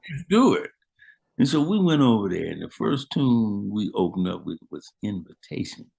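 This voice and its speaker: A man's voice: lowish voice, sing-song, moderate pace, vocal fry, a little mellow, rough recording. An older man, a musician guest, casually chatting and retelling old stories in a laid-back, slangy way. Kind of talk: casual chat, storytelling.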